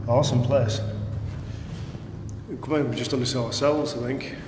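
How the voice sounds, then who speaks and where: A man's voice: medium pitch, fairly even intonation, moderate pace. A man chatting casually to livestream viewers on a walking tour, recorded on a handheld phone. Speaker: paranormal investigator livestreaming. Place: inside a lighthouse stairwell and museum. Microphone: phone at arm's length. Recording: reverberant stairwell, faint noise, handheld camera.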